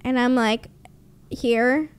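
Blunt high-voiced young woman. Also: steady tone, slow, clean tone. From Canada. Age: young adult. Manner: blunt, sarcastic, swears freely. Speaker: podcast host. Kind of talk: casual storytelling monologue. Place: small home room, indoors. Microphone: studio mic on boom arm, close.

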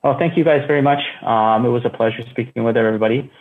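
A man in his thirties giving an unscripted keynote from home over a video call, speaking haltingly with many fillers and restarts. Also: medium pitch. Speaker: company founder giving a keynote. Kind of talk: casual unscripted talk. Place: room at home. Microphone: earbuds on a video call. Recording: tinny phone-like call audio.

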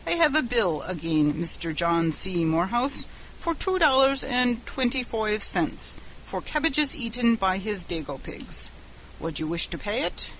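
A woman's voice: mid-range voice, very animated, unhurried, a bit bright, slight background noise, low-quality recording. A woman voicing a stubborn, sardonic Irishman working as an express agent, with a folksy, dogged way of talking. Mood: cold, deadpan.

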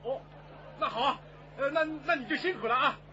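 A man's voice: very high-pitched, moderate pace, pushed and bright, some chatter behind, exaggerated voice. A man playing a grateful, elated senior police chief on a call in a radio drama. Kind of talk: radio drama acting, phone conversation. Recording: dull sound with faint noise.

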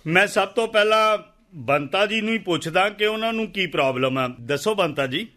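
A man's voice: high-pitched, lively intonation, normal speed, bright, forceful tone. A man playing an educated village secretary in a radio play, polite, patient and reasoning, with a Punjabi accent. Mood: calming.

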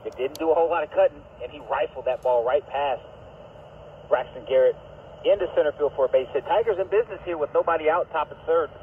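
A man's voice: on the high side, quick pace. A man calling live baseball play-by-play through an AM radio speaker. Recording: thin tinny AM radio, distant, faint noise.

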